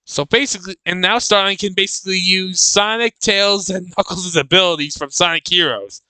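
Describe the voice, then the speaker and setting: Guy doing casual reaction commentary, really bright clear voice, kinda halting, repeats himself thinking out loud. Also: high-pitched, no room sound, low-quality recording. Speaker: reaction video host.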